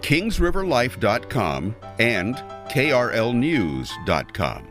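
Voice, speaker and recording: A man's voice: mid-range voice, sing-song, slow. A man working as a podcast announcer, reading a scripted outro. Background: guitar music.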